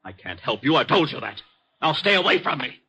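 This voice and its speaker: Panicky bank auditor, husky, rapid, defensive, stammering, vintage radio drama. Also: male voice, on the high side, sing-song, pushed and bright, full character voice. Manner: agitated, repetitive, stammering. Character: frightened, defensive male bank auditor, anxious for respectability. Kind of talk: 1950s radio drama acting.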